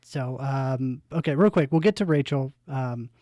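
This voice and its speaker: A man's voice: medium pitch, a little slow, mellow, no echo, studio quality. A man hosting a podcast, chatting casually in a rambling way full of restarts. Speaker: podcast host. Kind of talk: casual chat.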